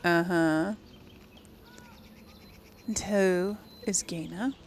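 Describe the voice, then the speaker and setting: A woman's voice: lowish voice, steady tone, extremely slow. A woman hosting a casual game role-play, musing aloud and playfully voicing her own dialogue choices. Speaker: gaming video host. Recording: faint background noise.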